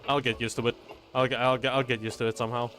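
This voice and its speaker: Halting male game streamer over music. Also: mid-range voice, flat delivery, fairly bright tone. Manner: halting, repeats phrase openings. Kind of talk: casual live gameplay commentary. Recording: faint game music behind.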